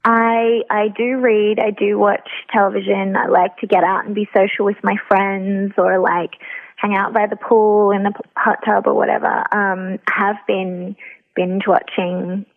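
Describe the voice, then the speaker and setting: A woman being interviewed, chatting informally and pausing to think as she answers. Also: mid-range voice, level tone. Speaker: interview guest, actor and writer. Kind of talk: casual chat. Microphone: telephone. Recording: tinny, narrow phone line.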